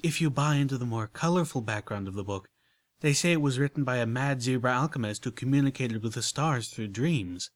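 A man's voice: mid-range voice, brisk, no room sound, close to an everyday voice. A man voicing an elegant, prim unicorn mare who talks with genteel enthusiasm. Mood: enthusiastic.

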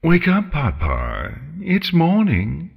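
A man plays a haughty female storybook dragon with a theatrical, swooping delivery that leaps upward in pitch. The dragon sounds prim and breezily menacing, condescending to its prey. The audio is slightly dull. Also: wildly swooping pitch, slow, gravelly, breathy edge, big-room sound, heavily acted. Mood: chipper.

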